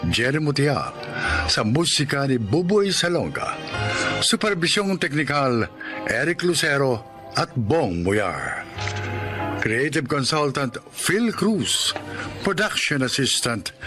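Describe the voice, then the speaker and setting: A man narrating and announcing a scripted radio drama with deliberate, dramatic phrasing. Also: mid-range voice. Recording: music behind the voice.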